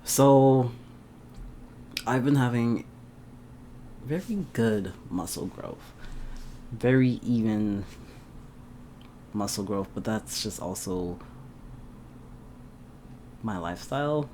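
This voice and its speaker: A young man talks straight into his camera from his room, slow and unhurried, his voice sitting a little low. He laughs often and breaks into animated bursts. A faint ambient hum runs underneath. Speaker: vlogger. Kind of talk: casual chat to camera. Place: indoors at home.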